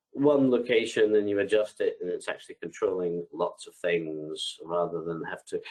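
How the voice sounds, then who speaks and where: A man with a very low voice, thick and stuffy from a heavy cold, answering audience questions live over a headset. He reads each question out, then replies loosely with plenty of ums and restarts. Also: darkish tone, poor audio. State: congested from a bad cold. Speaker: product expert answering webinar questions. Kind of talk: live webinar Q&A, unscripted. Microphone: headset on a video call.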